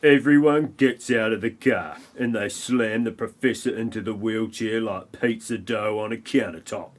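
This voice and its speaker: A man's voice: lowish voice, normal speed. A man narrating a comic parody audio play in a wry, mocking manner.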